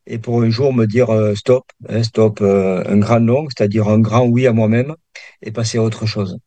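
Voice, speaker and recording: Older southern French man, low and mellow. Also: fairly even intonation, moderate pace, dry recording. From Perpignan, southern France. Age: 60s. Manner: hesitant, many restarts and fillers. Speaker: guest, meditation teacher. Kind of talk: radio interview.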